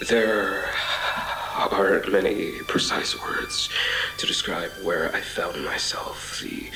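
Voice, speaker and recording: An actor playing a haunted man recounting a surreal ordeal in a brooding, literary horror audio drama monologue, full of pauses. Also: low voice, heavily acted. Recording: reverberant voice over music.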